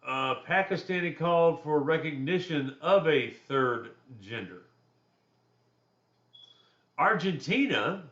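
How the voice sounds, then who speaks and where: A man's voice: a bit bright, reverberant, dull audio. A man, giving a recorded class lecture in a loose, conversational way with personal asides. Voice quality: deep voice. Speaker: instructor.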